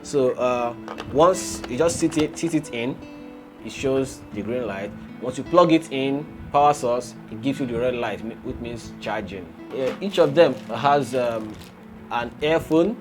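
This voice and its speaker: A man's voice: mid-range voice, measured pace. A man hosting a casual gadget review, explaining and demonstrating as he goes. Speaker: gadget reviewer and video host. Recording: music playing behind the voice.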